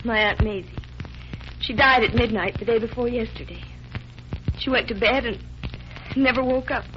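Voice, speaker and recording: A woman's voice: fairly high pitch, steady tone, normal speed, faint music behind, natural voice. A woman playing a frightened, earnest young heiress, pleading in emotional 1940s radio drama style. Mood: fearful, insistent. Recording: muffled, noisy 1940s radio recording.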